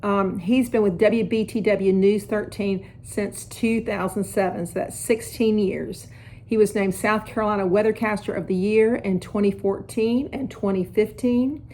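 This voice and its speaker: A woman's voice: mid-range voice, fairly even intonation, normal speed, mellow, slight background noise. A woman, hosting a podcast and chatting casually with her guest. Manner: chatty, conversational. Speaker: podcast host and realtor. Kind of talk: casual interview chat.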